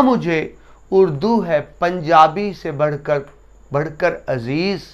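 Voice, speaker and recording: A man giving a lesson to students, explaining essay writing and reciting couplets slowly with emphasis. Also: on the high side. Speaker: teacher.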